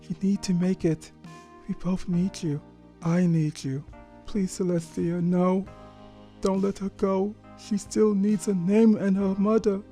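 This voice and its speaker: A man's voice: high-pitched, little pitch movement, unhurried, warm tone, soft background music, pitched above the performer's own voice. A man voicing an emotional young pony stallion husband in a story, speaking in a strained, urgent, pleading way. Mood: desperate pleading. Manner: urgent repeated pleading and encouragement. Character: frantic young stallion husband, tender and desperate.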